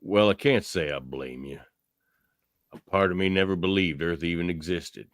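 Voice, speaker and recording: A man acts out a principled frontier lawman for a radio play. The voice is very deep and dryly recorded, with a firm, plain-spoken, gruff delivery. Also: normal speed, dry recording, studio quality, natural voice. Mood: guarded. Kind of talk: amateur radio theatre acting.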